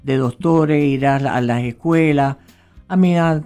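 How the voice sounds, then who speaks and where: A woman's voice: low-pitched, measured pace, soft background music. An older woman with a Puerto Rican Spanish accent talks as a radio guest. She tells her stories in a chatty way, often restarting her sentences. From Puerto Rico. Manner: chatty, warm storyteller with frequent restarts. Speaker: guest, notary public. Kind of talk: casual radio interview.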